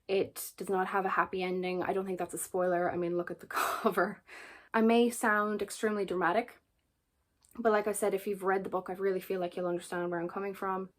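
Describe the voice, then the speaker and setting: Chatty young Irish woman talking books. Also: medium pitch. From Ireland. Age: 20s. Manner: chatty and earnest, repeats words for emphasis, laughs often. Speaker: book vlogger. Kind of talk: casual book chat to camera.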